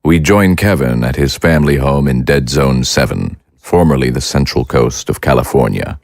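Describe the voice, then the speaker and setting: Super deep narrator guy, formal announcing, dry close sound. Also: expressive, moderate pace, dry recording. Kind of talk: scripted narration.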